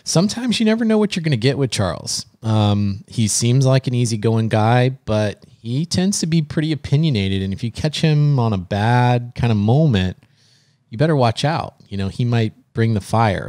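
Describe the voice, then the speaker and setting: A man's voice: mid-range voice, lively intonation, normal speed, darkish tone, no echo, clean studio sound. A man co-hosting a podcast in a casual, conversational style. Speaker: podcast co-host.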